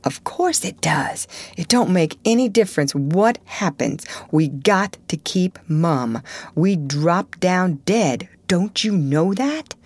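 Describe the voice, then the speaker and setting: A woman voicing a superstitious, excitable young country boy, bossy but easily scared. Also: lowish voice, slow, dry recording. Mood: solemn, insistent. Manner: breathy urgent exclamations and hushed whispering.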